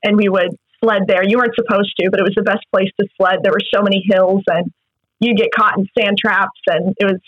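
A woman's voice: medium pitch, fairly even intonation, fairly quick, no echo. A young American woman from Massachusetts chatting casually and gigglingly as an interview guest. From United States, Massachusetts. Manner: giggly, chuckles while talking. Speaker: actress being interviewed as guest. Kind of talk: casual chat. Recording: dull, slightly lo-fi close recording.

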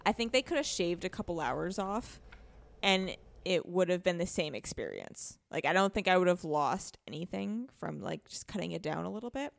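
A woman's voice: on the low side, very animated, moderate pace, creaky. A woman co-hosting a podcast, casually discussing a video game in an informal, hesitant way as she thinks aloud. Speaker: podcast co-host.

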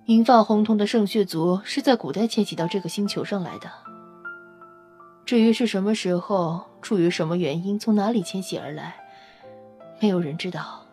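A woman's voice: mid-range voice, moderate pace, darkish tone, faint music behind, lightly acted. A woman playing a brash, fiery, outspoken female vampire scientist in an audio drama, with an emphatic, informal manner. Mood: explaining. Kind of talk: Chinese audio drama acting.

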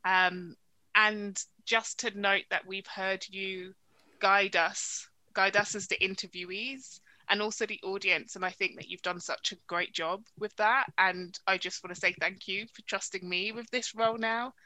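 A woman interviewing on a podcast with a bright, sharp tone. She speaks earnestly and haltingly, often repeating words as she frames her questions. Her voice sounds dry and close, with no room echo. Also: mid-range voice, fairly even intonation.

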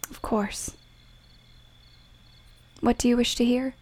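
Woman acting a subdued, even-toned grieving young woman. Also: on the high side, slow pace. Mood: tender, weary. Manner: subdued, earnest delivery. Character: devoted, grieving young woman, firm and sad. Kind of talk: audio play acting, period drama.

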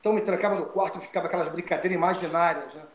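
An older man from Brazil, telling stories of his start in fighting as an interview guest, animated and often restarting his words. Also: high voice, very fast, echoey room, slight background noise. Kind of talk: storytelling interview.

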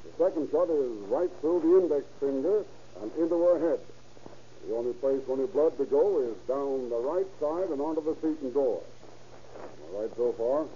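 Man acting a methodical, dark-toned homicide captain. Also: medium pitch, lightly acted. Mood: deductive, certain. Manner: deliberate, deductive, authoritative. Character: shrewd, methodical male police captain, homicide detective. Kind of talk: 1940s radio crime drama acting. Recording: muffled, noisy old radio recording.

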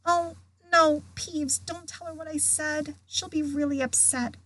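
A woman's voice: high-pitched, fairly even intonation, voice pushed up, full character voice. A woman voicing an anxious, know-it-all young schoolgirl who speaks earnestly and nervously in a storybook. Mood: frantic. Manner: earnest, hurried, falsely bright when nervous.

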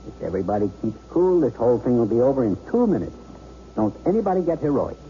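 A man's voice: mid-range voice, very animated, quick pace, warm tone, natural voice. A man playing a hardened, volatile armed robber in a radio drama, barking orders and sneering in streetwise slang. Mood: commanding. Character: armed bank robber, hardened, volatile two-time loser. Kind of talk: 1970s radio drama acting. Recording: dull, noisy old radio broadcast recording.

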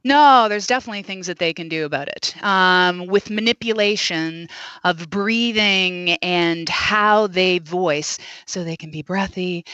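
A woman voice coach explaining as a podcast guest, animated and demonstrative. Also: medium pitch, no room sound, highs cut off.

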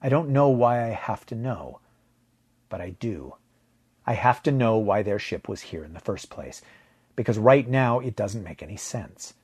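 Low, husky male story narrator, close and dry. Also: animated, normal speed, darkish tone, no room sound. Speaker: fiction narrator. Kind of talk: first-person audiobook narration of a science fiction story.

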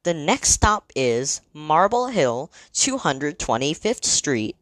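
Casting: female transit announcer, low pitch, slow and formal, scripted delivery, lilting intonation. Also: very animated, no echo, poor audio. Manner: measured, clearly segmented, formal. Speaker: train announcer.

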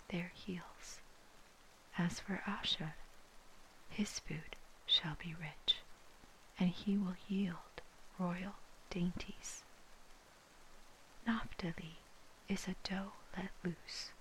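Whispering woman reading slowly. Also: lowish voice, rough recording. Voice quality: soft, breathy unvoiced whisper. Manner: slow, measured, phrase-by-phrase reading. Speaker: scripture reader. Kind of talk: whispered ASMR Bible reading.

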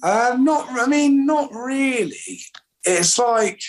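A man, a musician chatting casually in an interview in a relaxed, rambling, self-deprecating way. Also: very high voice, slow pace, music in the background. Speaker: musician guest.

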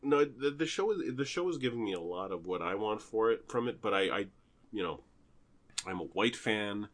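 A middle-aged man chatting on a podcast, his voice sitting a little low and swinging through a wide pitch range. He jokes and laughs easily, with a loose, casual delivery. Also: moderate pace. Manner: animated, joking, laughs often. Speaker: podcast host. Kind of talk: casual chat.

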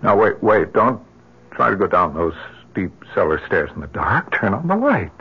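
Anxious, hesitant husband, husky old-radio drama. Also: male voice, fairly low pitch, big pitch swings, normal speed, full character voice. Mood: uneasy, protesting. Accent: American. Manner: hesitant, stammering, pleading. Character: anxious husband and grieving father, doubting but protective. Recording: muffled lo-fi vintage radio recording.

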